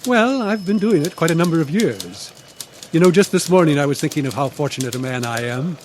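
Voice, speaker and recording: An actor playing a mild, hesitant old newspaper columnist, flustered and deferential, in an old-time radio drama. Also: male voice, fairly high pitch, very animated, brisk. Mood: genial, musing. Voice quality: hesitant, stammering when flustered. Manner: genial, meandering, absent-minded. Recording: live stage recording with background noise.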